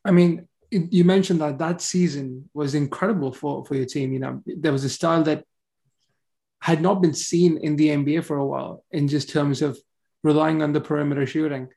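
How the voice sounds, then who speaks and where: A male podcast host with a somewhat high voice and a gravelly edge. He builds long, considered questions before handing the floor to his guest. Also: fairly even intonation, moderate pace, warm tone. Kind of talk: interview questions.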